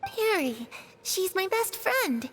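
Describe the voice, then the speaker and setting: High, slow-spoken little ghost girl. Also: big pitch swings, fairly bright tone, slight background noise, exaggerated voice. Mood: quiet, ominous. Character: young ghostly girl, innocent, frail, guardedly trusting. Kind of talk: scripted video game voice acting.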